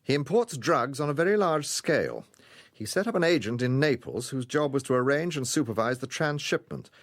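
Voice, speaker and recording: An actor playing an urbane gentleman police detective in a radio drama, with a British accent and a courteous, probing, dryly amused manner. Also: medium pitch, animated, fairly quick, dry recording, clean studio sound, close to an everyday voice. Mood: cool, confrontational.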